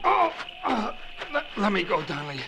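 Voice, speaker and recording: A cowed, whining crook in 1940s radio drama style. His voice is high and gravelly, swinging widely as he pleads desperately. The old recording carries faint noise. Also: huge pitch swings, normal speed, heavily acted. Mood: pleading.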